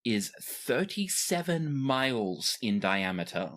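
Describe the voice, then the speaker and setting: A man's voice: mid-range voice, very animated, slow pace, fairly bright tone. A man runs a tabletop game in a conversational way. His mid-range voice moves up and down a lot as he explains the world and wanders into chatty asides. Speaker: game master of a tabletop role-playing podcast.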